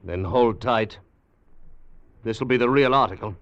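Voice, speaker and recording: An actor playing a confident, insistent gentleman inventor in a 1950s radio drama, with deliberate, theatrical emphasis. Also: fairly low pitch. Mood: assured. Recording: dull 1950 radio recording.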